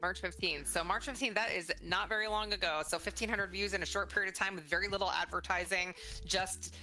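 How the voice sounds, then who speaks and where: A woman's voice: mid-range voice, steady tone, brisk, bright, forceful tone, rough recording. A middle-aged woman hosting a casual conversational interview in an enthusiastic, encouraging way. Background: faint music.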